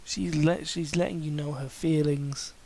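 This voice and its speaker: A man's voice: on the high side, little pitch movement, a little slow, vocal fry, darkish tone. A man giving a dramatic reading of fan fiction, breaking off for mocking, exasperated asides. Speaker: reader commenting on fan fiction.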